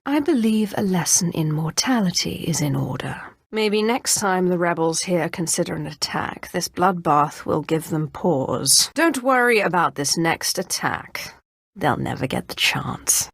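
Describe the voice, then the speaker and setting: An actress playing a ruthless, imperious Sith Inquisitor in a video game, with a haughty, menacing, deliberate delivery. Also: female voice, on the low side, no echo, studio quality. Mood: cold menace. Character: ruthless, imperious female Sith Inquisitor, dark sorceress of the Empire. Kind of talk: video game character voice acting.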